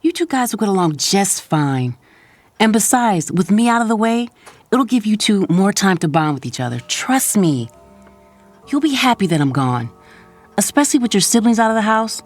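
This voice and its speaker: Actress as sassy middle-aged mom, audio drama, animated intonation, frank and conversational, close dry recording. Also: female voice, mid-range voice, moderate pace, no echo, soft background music. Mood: loving, teasing. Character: sassy, loving mother of grown children, fed-up wife. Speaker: actor.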